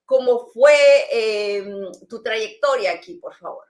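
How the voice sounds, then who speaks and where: A woman's voice: fairly high pitch, slow. A woman hosting a business talk show, greeting the audience and introducing her guest in a friendly way, with hesitant restarts. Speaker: host.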